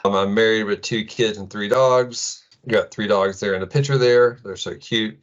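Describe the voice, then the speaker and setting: A middle-aged man with an American accent giving an informal tech presentation in a casual, halting way full of ums. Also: on the low side, highs cut off. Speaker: presenter, IT administrator.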